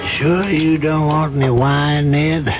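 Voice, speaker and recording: An actor playing a compassionate older American judge in a 1970s radio drama, reasoning in a measured, avuncular, probing way. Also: male voice, a little high, steady tone, loud music behind the voice. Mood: genial. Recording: muffled, noisy old radio broadcast.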